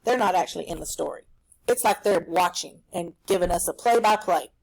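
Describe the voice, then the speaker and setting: A woman teaching a recorded reading lesson for children at home, explaining a story in an animated, explanatory way. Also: lowish voice, fairly quick, vocal fry, rough recording.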